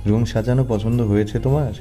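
Very dark, low-voiced shy husband acted by a man. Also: steady tone, quick pace, soft background music, close to an everyday voice. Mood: shy, tender. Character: shy young doctor husband. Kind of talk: audio story voice acting.

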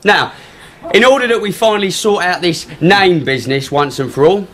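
A man's voice: high voice, moderate pace, fairly bright tone. An actor playing a cocky, mocking young man on trial in a radio drama. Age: young adult. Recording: noisy courtroom ambience behind.

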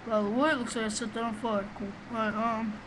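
A man with a small, high voice giving rambling, profane, excitable commentary while playing a game, recorded on a webcam microphone. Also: fast talker. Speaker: gamer doing a let's play. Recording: noisy home recording.

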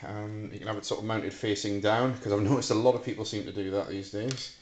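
A middle-aged British man casually demonstrating a homemade device in a hesitant, halting, unscripted way. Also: on the low side, steady tone, a little mellow. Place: small hard-walled room indoors. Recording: echoey room, clicks and faint hiss.

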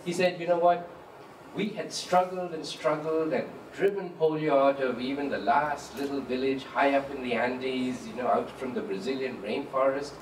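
A man speaking as an author on a panel, animated and anecdotal, into a handheld mic in a large hall with an audience. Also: mid-range voice, normal speed. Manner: animated, quotes people vividly. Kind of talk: panel discussion, storytelling. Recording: roomy hall, distant mic, room noise.

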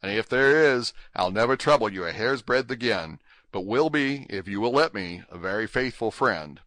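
Male narrator doing a hearty young Texan man, slangy and earnest, lower voice. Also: animated, normal speed, fairly bright tone, dry recording. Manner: drawling, homespun slang, hearty and sincere. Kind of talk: audiobook character reading.

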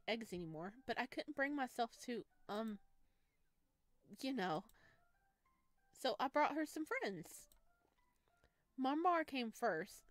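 A woman voicing a gentle, self-deprecating young male forest monster from a visual novel, soft-spoken and playfully teasing. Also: on the high side, no room sound.

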